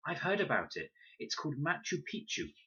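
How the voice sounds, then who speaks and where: A man voicing a calm, curious young girl in a storybook, gentle and measured. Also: on the high side, husky, muffled recording, pitched above the performer's own voice, full character voice.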